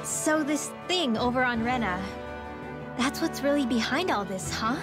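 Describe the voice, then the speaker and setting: A woman's voice: sits high, animated, a little slow. A woman playing a perky, informal young companion in a fantasy game, casual and inquisitive. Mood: puzzled. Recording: faint music behind the voice.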